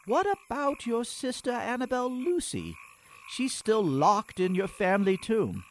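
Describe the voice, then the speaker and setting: Man playing a wry, morose boy, swooping pitch. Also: unhurried, slight background noise, full character voice. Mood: dry, calm. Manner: prim, wordy, deadpan. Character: morose, wry young boy poet, dryly reasonable. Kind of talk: comedic radio play acting.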